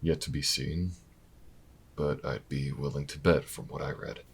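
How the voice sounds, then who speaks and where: An actor playing a weary, wry, protective investigator in an intimate audio drama, low-key and dry, with hesitant pauses. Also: male voice, fairly even intonation, heavily acted. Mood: wry concern. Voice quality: very deep, gravelly, husky. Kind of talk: audio roleplay acting, intimate and naturalistic.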